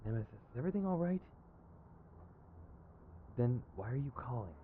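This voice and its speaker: A man's voice: mid-range voice, big pitch swings, moderate pace, phone-quality sound, lightly acted. A man playing an exasperated but principled, protective villain in an audio roleplay, with a sardonic, emphatic, conversational delivery. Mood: exasperated, casual. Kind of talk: ASMR roleplay acting. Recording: clear background noise.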